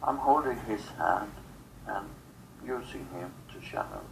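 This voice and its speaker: A low-voiced man speaks very slowly as a spiritual channeler, with a heavy creak in the voice. His comes out in short, broken phrases with long gaps, over faint background noise. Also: huge pitch swings, low-quality recording. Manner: halting, fragmented phrases with long pauses.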